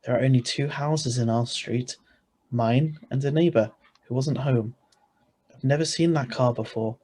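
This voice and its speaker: A man's voice: mid-range voice, normal speed, mellow, breathy edge, lightly acted. Man playing a tense, protective ordinary husband. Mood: grim narrating. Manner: urgent, plain-spoken. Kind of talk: 1940s-style radio drama acting and narration. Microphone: video call.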